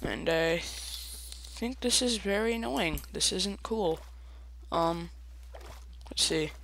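A man's voice: sits high, slow pace, gravelly, bright, forceful tone, a little hiss or hum, poor audio. A young man casually commenting on his own gameplay, chatty and self-mocking, with playful asides. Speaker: let's play gamer.

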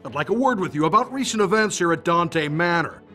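A flustered newspaper reporter in a game cutscene. His voice is high and bright, nervous and ingratiating. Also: animated, a little fast, faint music behind. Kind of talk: video game cutscene acting.

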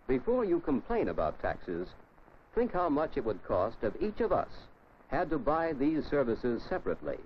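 An American man narrates a 1950s instructional film, teaching the listener directly. His intonation moves up and down in a lively way. The soundtrack is dull and clearly noisy. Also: medium pitch. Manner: didactic, addresses the listener directly.

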